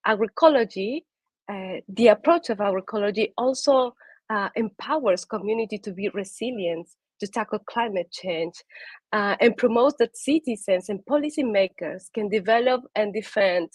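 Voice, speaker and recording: A woman's voice: medium pitch, moderate pace, no room sound. Peruvian woman giving an earnest conservation talk. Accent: Peruvian Spanish. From Peru. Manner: earnest, with frequent fillers and restarts. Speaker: conservation speaker. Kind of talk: prepared talk.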